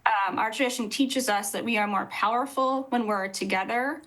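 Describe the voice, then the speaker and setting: A woman in her thirties, a rabbi, giving measured, earnest interview answers over a video call from an office. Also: a little high, a bit bright, rough recording. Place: indoor office with bookshelves.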